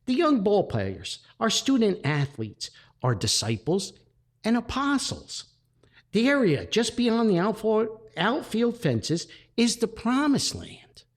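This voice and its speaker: A man voicing an excitable, long-winded forty-year-old church committee member in a comic story. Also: high voice, slow pace, voice pushed up, exaggerated voice. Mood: zealous, eager. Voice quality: whistling speech through gapped teeth.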